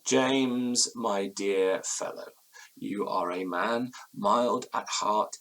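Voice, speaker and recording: An actor playing an anxious old-fashioned gentleman, recounting a ghostly ordeal in formal, florid, theatrical language for a Gothic audio drama. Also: lowish voice, drawn-out words. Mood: grave, courteous.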